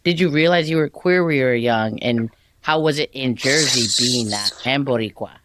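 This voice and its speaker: A woman's voice: a bass voice, normal speed, noisy background. A young woman from the Los Angeles area hosting a podcast interview, asking follow-up questions and sharing her own story. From Los Angeles area, USA. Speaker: podcast host. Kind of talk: conversational interview.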